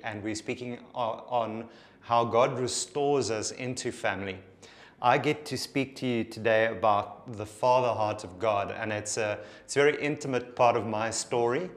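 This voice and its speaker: A South African man in his forties with an Afrikaans accent, preaching to camera. He speaks earnestly and intimately, pausing to reflect, in a mid-range voice. Recorded cleanly in a bare studio. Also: mid-range voice. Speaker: pastor. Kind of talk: sermon to camera.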